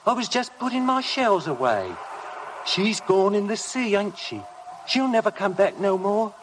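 Childlike simpleton, high-pitched, plaintive, rustic, 1950s radio drama, faint noise. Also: male voice, lively intonation, a little slow. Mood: pleading, mournful. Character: simple-minded, fearful, childlike man of the shore.

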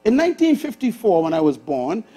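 A Bahamian man in his sixties preaching a sermon on a church stage, swinging from loud bursts to hushed asides. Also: sits high, huge pitch swings, brisk. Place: large church auditorium stage with audience.

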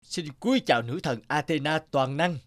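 A man voicing an earnest, awed village man in an animated fairy tale, husky and reverent. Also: on the high side, moderate pace, no echo, clean studio sound, lightly acted. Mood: wondering.

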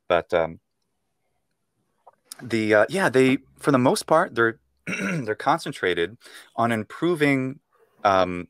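A man's voice: on the low side, fairly bright tone, no echo. A young American man, a Latin teacher, explaining at length in a casual interview over a video call, with many fillers and restarts. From United States. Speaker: guest, Latin speaker and teacher. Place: room at home. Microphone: earphone mic on video call.

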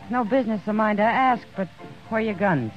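A woman's voice: medium pitch, fast, natural voice. An American woman acting a frank, caring saloon woman in a 1950s radio Western. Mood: worried, pleading. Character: concerned, frank saloon woman of the Old West. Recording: muffled 1950s radio recording with music.

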